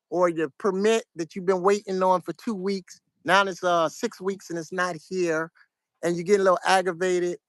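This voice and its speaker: A middle-aged man from New York with a New York accent, giving casual, soft-spoken advice to his viewers in a fairly flat, monotone way. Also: high-pitched, no echo. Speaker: vlogger.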